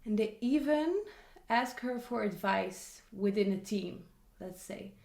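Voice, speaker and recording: A woman's voice: medium pitch, expressive, slow, vocal fry, darkish tone. A young woman, chatting casually on camera about a book she read and adding many asides. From Netherlands. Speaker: vlogger. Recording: echoey room.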